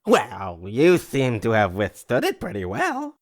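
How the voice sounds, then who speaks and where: An actor playing a boozy, chummy, boastful socialite in an old-movie style audio drama, rambling and slangy. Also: male voice, mid-range voice, huge pitch swings, measured pace. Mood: teasing.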